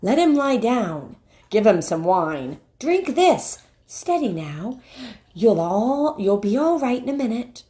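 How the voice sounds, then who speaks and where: A woman voicing kind, busy rescuers in a storybook, with brisk exclamations and questions. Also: fairly high pitch, very animated, dark tone, pitched above the performer's own voice. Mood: reassuring. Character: kind, busy rescuers chattering, chummy British storybook soldiers.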